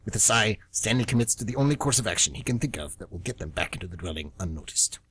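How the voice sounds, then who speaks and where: A man with a husky voice reading scripted, dramatic story narration for a radio theatre show. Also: fairly low pitch, lively intonation, fast talker, fairly bright tone, no room sound. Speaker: narrator and co-host.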